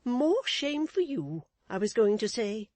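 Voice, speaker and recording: A woman's voice: sing-song, measured pace, a little mellow, dry recording. A woman voicing a middle-aged wife who scolds her husband with withering, self-righteous indignation. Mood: indignant. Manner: high-pitched, withering, sharply emphatic.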